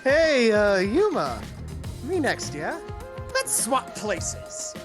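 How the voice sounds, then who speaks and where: A woman's voice: a little high, wildly swooping pitch, drawn-out words. A woman in casual game chat, reading dialogue aloud in a playful, theatrical way. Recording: game music behind the voice. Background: video game music.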